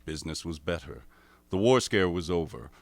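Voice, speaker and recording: A man playing a grave narrator of an alien invasion tale in a radio drama, solemn, measured and ominous. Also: sits low, animated, no room sound. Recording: dry close recording with music behind.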